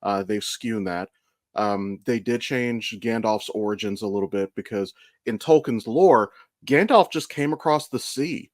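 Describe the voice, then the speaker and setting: A man's voice: fairly low pitch, unhurried, no room sound, studio quality. A man co-hosting a casual podcast, explaining his opinions as a fan with the odd swear word. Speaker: podcast co-host. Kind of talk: casual chat, opinionated pop-culture commentary.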